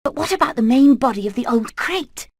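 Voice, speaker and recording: A British woman playing a determined, plucky hen in an animated video game, speaking in a brisk, earnest, rallying way. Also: sits high, dry recording, clean studio sound. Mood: brisk, planning. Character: determined, plucky female hen leading an escape plan.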